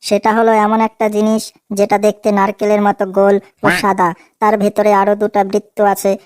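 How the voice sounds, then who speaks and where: A woman's voice: mid-range voice, monotone, a little fast, a little mellow, smooth, no echo, clean studio sound, natural voice. A woman voicing a young boyfriend in a Bengali comedy cartoon, placating yet cheeky, a sly wisecracker.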